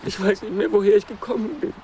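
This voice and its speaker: An actor playing an exhausted, lost wanderer in a radio drama, brooding and halting as he talks to himself. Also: sits high, fairly even intonation, a little mellow, a little hiss or hum, natural voice, low-quality recording. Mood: weary, strained. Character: exhausted lost male wanderer trudging through bad weather. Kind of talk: radio drama interior monologue.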